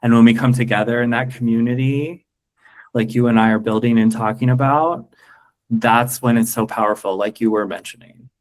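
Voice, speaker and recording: A man's voice: medium pitch, fairly even intonation, moderate pace, poor audio. Friendly American man in conversation. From United States. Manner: friendly, reflective, asks questions. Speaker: podcast co-host. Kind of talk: podcast conversation.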